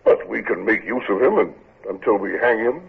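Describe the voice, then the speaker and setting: A steady, loyal ship's captain with a raspy, gravelly voice that rises and falls a lot. He answers his commander deferentially and reassuringly. The sound is thin and tinny, like an old radio broadcast. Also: fairly low pitch, normal speed, heavily acted. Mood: earnest. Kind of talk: 1940s radio drama acting.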